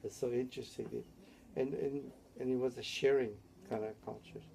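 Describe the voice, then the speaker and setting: Gravelly older man from Hawaii. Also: medium pitch, fairly even intonation, fairly quick, mellow, slight background noise, poor audio. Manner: rambling, tags statements with "yeah?". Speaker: interviewee. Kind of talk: oral history interview.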